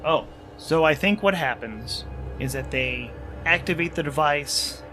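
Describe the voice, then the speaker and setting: A man's voice: on the high side, lively intonation, unhurried, sharp tone. A man working as game master and host of a solo tabletop game, thinking aloud as he narrates rules and story. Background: music.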